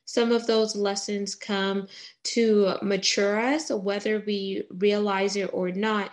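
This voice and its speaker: A woman hosts an interview over a video call. She talks in a measured, reflective way, building up motivational points at an even pitch. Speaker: host, interviewer.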